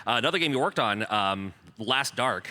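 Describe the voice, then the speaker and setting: Male moderator with a bright, forceful voice, eager interviewer. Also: medium pitch, animated, normal speed. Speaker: panel moderator. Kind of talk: convention panel interview. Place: convention panel stage.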